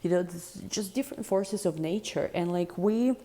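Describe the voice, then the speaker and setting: A woman giving a casual tarot reading in a deep, chesty voice, rambling and reflective with many fillers. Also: normal speed, mellow. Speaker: tarot reader.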